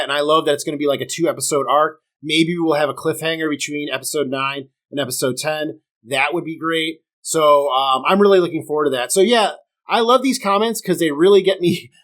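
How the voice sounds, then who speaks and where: Man, podcast host, sick with a sore throat, chatty, opinionated, fairly high voice, talks to viewers. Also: a bit bright. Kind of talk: casual review podcast.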